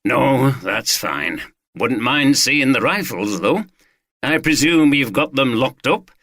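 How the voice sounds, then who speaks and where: A man voicing a calm, measured senior detective who speaks slowly and understatedly. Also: medium pitch, no room sound, clean studio sound, heavily acted.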